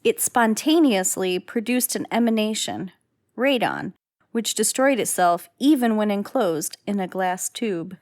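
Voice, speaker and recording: A woman narrates an old-time radio drama with a scripted, dramatic delivery. Her voice is medium in pitch, telling the story as a narrator. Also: expressive, a little slow, darkish tone.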